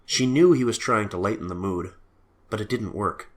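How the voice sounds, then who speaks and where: A man's voice: fairly low pitch, moderate pace. A man reading scripted story narration for an audio drama.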